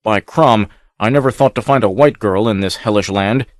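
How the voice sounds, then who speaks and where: A man reading an audiobook, voicing a wild barbarian warrior in a gruff, blunt way. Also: on the low side, normal speed, dry recording, close to an everyday voice. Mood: demanding.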